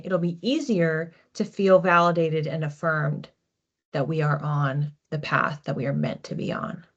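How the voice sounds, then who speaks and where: A woman hosting an informal psychic card reading in a conversational, reflective way, with animated asides. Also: low voice, dull audio. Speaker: psychic card reader, host.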